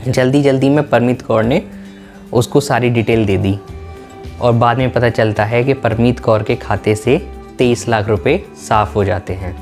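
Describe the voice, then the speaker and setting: A male narrator speaks at an average pitch in an explanatory documentary style. He lays things out as a storyteller of factual events would. Music plays behind his voice. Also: moderate pace, a little mellow.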